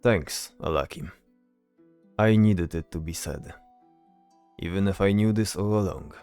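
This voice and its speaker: A man plays a weary soldier in a fantasy audio drama. The voice is low and slow. He sounds solemn and earnest, hesitating as if weighed down by duty. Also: gravelly, a little mellow, clear, resonant tone, faint music behind. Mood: grateful. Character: brooding, dutiful male soldier, weary and earnest.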